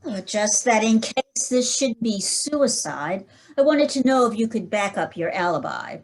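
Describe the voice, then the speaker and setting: A woman playing a brisk, dry-witted male police inspector in a 1940s-style radio mystery. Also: mid-range voice, huge pitch swings, heavily acted. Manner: brisk, dry, matter-of-fact.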